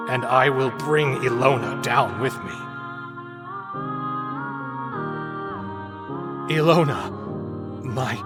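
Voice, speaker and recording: A man voicing a tormented, self-loathing young soldier cursed to become a werewolf, in a brooding, halting, melodramatic gothic visual novel style. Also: medium pitch. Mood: regretful, anguished. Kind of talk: gothic visual novel voice acting, dramatic monologue.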